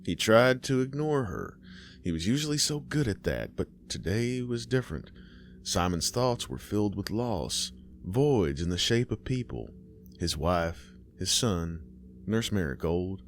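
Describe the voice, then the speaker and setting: A man with a very deep voice narrates a horror story at a measured pace, keeping his delivery even and steady. A faint hiss sits under his voice throughout.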